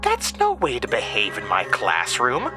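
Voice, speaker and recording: A man's voice: medium pitch, wildly swooping pitch, unhurried, music in the background. A man voicing a monstrous, stretched female schoolteacher who taunts in a mock-sweet, schoolmarmish way in a horror audio drama. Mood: mock-sweet menace.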